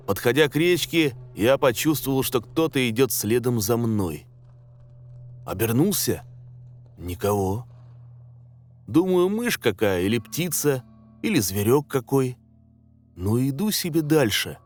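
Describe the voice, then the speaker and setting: A man narrates a horror story with a mid-pitched voice. His delivery is measured and suspenseful, with lively swings in intonation, and music plays underneath. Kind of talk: scripted dramatic narration of a scary story. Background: background music.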